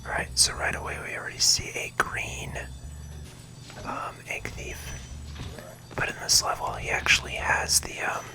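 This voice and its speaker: Someone giving gentle, unhurried whispered ASMR commentary while playing a video game, talking through each move close to the microphone. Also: faint music behind. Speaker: video game playthrough host.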